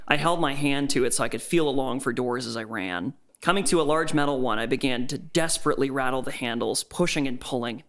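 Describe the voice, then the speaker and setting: Male actor as terrified male captive, first-person horror narration, tense, earnest, average pitch. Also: fairly bright tone, close to an everyday voice. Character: frightened captive call-center worker telling his ordeal.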